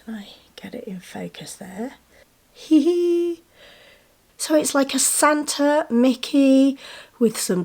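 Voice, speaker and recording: Woman vlogger, a bit congested from a cold, chatty and enthusiastic, show-and-tell to camera. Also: fairly high pitch. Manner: chatty, enthusiastic, with soft giggles. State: a little bunged up with a cold. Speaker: vlogger showing gifts.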